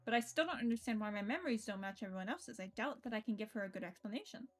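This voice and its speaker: A woman streamer reading visual novel narration aloud in an expressive way, with casual, sweary reactions. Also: on the high side, rapid-fire. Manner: expressive, self-interrupting, swears freely. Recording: game music behind the voice. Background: game music.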